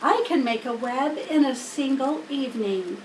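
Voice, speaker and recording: Storybook spider, acted by a woman: female, wise, plain-spoken, instructive, high voice, roomy distant recording. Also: normal speed, lightly acted. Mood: wry, opinionated. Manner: matter-of-fact, instructive. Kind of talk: children's storybook character reading. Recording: roomy, distant mic, faint noise.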